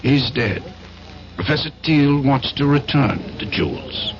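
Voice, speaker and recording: An actor playing a confident, persuasive middle-aged war veteran turned importer in a 1970s radio drama. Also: male voice, mid-range voice, expressive, measured pace. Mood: smooth, conspiratorial. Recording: dull, noisy old radio broadcast.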